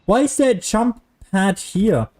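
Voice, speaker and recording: A man's voice: high voice, expressive, measured pace, darkish tone. A man who is a native German speaker, streaming a video game with casual, rambling, self-deprecating commentary.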